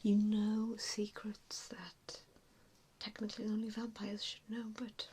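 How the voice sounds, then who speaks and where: A woman performing an ASMR roleplay as a vampire assessor, speaking in a breathy, mostly unvoiced whisper with hushed pauses. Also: mid-range voice, completely flat delivery. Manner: slow, hushed delivery with frequent pauses. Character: vampire assessor, a wistful former hunter, gently probing.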